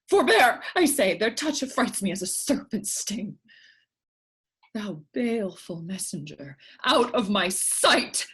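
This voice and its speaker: A woman playing a fragile, pious medieval king in classical verse, with a husky voice. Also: high voice, fairly bright tone, full character voice. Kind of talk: classical verse stage acting. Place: remote staged reading over video call.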